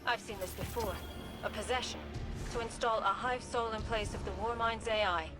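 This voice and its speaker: A woman's voice: fairly high pitch, moderate pace, music in the background, close to an everyday voice. A woman playing a stern, sceptical warrior-scholar in a video game, with a serious and terse delivery. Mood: grave. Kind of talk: video game dramatic acting.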